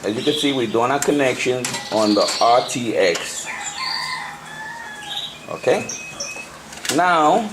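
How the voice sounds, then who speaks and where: A man with a Puerto Rican accent and a mid-pitched voice walks through a hands-on demonstration. He speaks casually and haltingly, often repeating or correcting himself. The amateur recording has clear background noise. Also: lively intonation. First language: Spanish. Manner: halting, repetitive, self-correcting. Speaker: amateur radio hobbyist giving a tutorial. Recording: noisy amateur camcorder sound.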